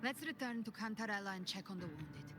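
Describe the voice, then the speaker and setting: A woman's voice: mid-range voice, animated, brisk, music in the background, close to an everyday voice. A woman voicing an earnest, composed female warrior in a video game, serious in manner. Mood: serious, concerned.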